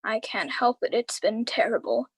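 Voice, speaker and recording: A woman playing a weary, heartbroken uncle in a live radio drama, with halting delivery. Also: on the high side, slightly rough, dry recording. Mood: groggy. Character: weary, heartbroken uncle, slowly recovering after misfortune.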